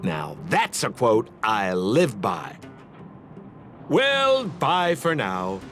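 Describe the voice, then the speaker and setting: A man's voice: a little high, a bit bright, full character voice. A man playing a sly, playful rogue in a video game, with a theatrical, drawling, teasing delivery. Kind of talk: video game character acting. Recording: music behind the voice.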